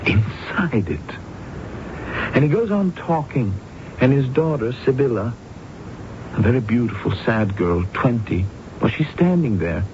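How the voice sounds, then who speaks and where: An actor playing a haunted, hesitant former graduate student in a radio drama. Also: male voice, mid-range voice, big pitch swings, a little mellow. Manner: hesitant, halting, confiding. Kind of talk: 1970s radio drama acting. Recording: dull, noisy old radio broadcast.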